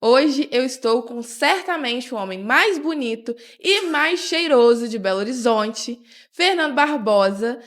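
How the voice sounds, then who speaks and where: A woman's voice: on the high side, animated, unhurried. A woman hosting her own podcast, chatting with a guest in a playful, teasing way. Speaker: podcast host. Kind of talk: casual interview podcast.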